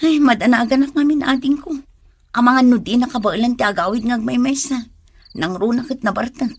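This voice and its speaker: A woman's voice: on the high side, fairly quick, breathy edge. A woman playing a devoted, stubborn young woman in love, in a radio drama. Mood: anxious. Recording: music under the voice.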